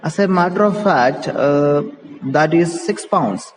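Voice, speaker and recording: A man's voice: fairly high pitch, expressive, takes it slowly. A man reading a carefree young husband, echoey. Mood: sheepish. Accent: Indian English. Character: sheepish, carefree young husband in a stage play. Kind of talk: dramatic read-aloud of a play. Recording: echoey reverberant room with background noise.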